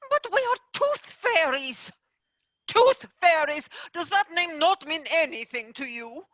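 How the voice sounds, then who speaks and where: A woman's voice: very high-pitched, lively intonation, unhurried, a bit bright, no room sound, muffled recording, exaggerated voice. Woman playing a prim aghast fairy boss. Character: prim horrified female tooth fairy boss. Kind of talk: stage play performance.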